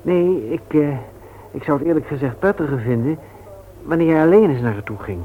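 An actor playing an earnest, reserved widowed father in a radio play, speaking in a hesitant, halting way. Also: a little high, natural voice. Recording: tinny old radio recording with hiss.

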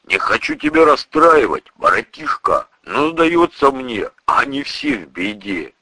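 Man voicing a gruff, gravelly, folksy burly priest. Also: mid-range voice, big pitch swings, slow, bright, forceful tone, no room sound, pitched above the performer's own voice, exaggerated voice. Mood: grave, blunt. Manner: colloquial, slangy, teasing.

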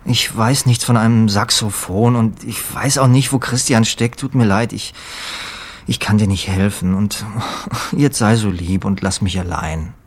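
A man playing a withdrawn, pious, apologetic character in a German radio drama, hesitant and gently evasive. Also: lowish voice, fairly even intonation, a little slow, slightly rough.

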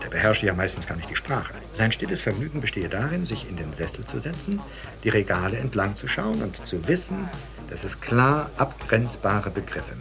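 An actor playing a narrator in a German radio play, telling a scripted account. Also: male voice, fairly low pitch, vocal fry. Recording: music under voice, old cassette radio production. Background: music.

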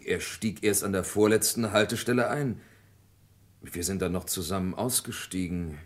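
An actor playing a plain-spoken, defensive village farmhand in a German radio drama, justifying himself. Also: male voice, deep voice, normal speed, close to an everyday voice. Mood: earnest, recalling.

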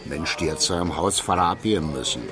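An actor playing a boastful, chummy small-time crook with a Berlin accent in a radio drama, colloquial and swaggering. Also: male voice, deep voice, brisk, natural voice. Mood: mocking sales patter. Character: boastful, chummy Berlin crook spinning tales and hawking goods. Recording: music behind the dialogue.

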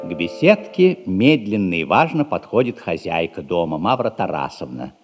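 A man reads scripted scene descriptions for an audio play. His voice is fairly low and richly inflected, with measured, wry delivery. Music plays clearly behind him in a reverberant space. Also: very animated. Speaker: narrator. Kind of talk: scripted theatrical narration of stage action. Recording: reverberant hall with music underneath.

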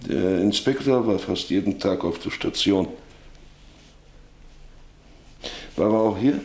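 An actor playing a middle-aged farmer and father, troubled and defensive, with a halting, brooding delivery in a German radio play. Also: low-pitched, a little mellow. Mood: tense, probing. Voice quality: gravelly, husky.

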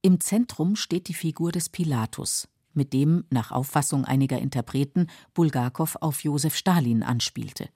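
A woman narrating a scripted literary radio feature in a measured, explanatory manner. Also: low-pitched, dark tone, breathy edge, no room sound, studio quality. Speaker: documentary narrator.

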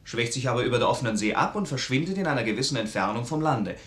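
An echoey room surrounds a man playing a factual research scientist. He reports precisely in a mid-pitched voice, in old radio drama style. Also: lively intonation, racing, fairly bright tone, close to an everyday voice. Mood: matter-of-fact. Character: matter-of-fact male research scientist reporting. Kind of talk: 1950s German radio drama acting.

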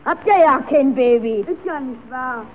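Casting: pushy, inquisitive youngster, played by a woman, high voice, radio play for children, thin hissy old sound. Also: moderate pace, lightly acted. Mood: sceptical. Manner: insistent, questioning, bossy. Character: curious, cheeky child at the zoo, sceptical and demanding. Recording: tinny, narrowband, hissy old radio recording.

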